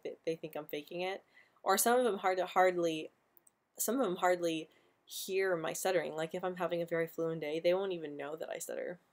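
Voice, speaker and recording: Young woman host, low-pitched, stutters on sounds, relaxed live chat. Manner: stutters often, repeating sounds and words. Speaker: livestream host answering viewer questions. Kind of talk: casual live stream chat.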